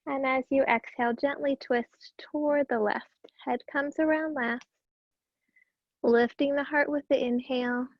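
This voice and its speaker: Yoga teacher, female, high-pitched and raspy, gentle breath cues, dry and dull lo-fi sound. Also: a little slow, no room sound. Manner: calm, gentle cueing with breath. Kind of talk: guided yoga class instruction.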